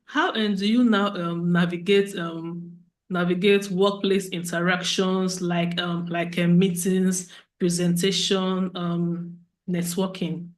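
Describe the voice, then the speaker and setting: Slow, hesitant woman interviewer. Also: lowish voice, flat delivery. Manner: hesitant, repeats words, many ums. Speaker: podcast host. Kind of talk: casual interview.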